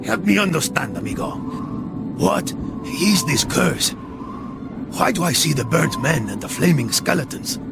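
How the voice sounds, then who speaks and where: An actor playing a wry, exasperated Spanish mercenary in a video game, with a Spanish accent and a sardonic manner. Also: male voice, on the high side, unhurried, vocal fry, heavily acted. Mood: curious, teasing. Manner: sardonic, animated, peppers talk with Spanish words. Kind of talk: video game cutscene acting. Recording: loud music behind the voice.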